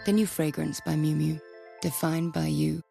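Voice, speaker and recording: A low-voiced woman reads a scripted advert with audible vocal fry. Her delivery is measured, like reading out a definition. Music plays clearly behind her. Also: steady tone. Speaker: perfume advert narrator. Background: music bed.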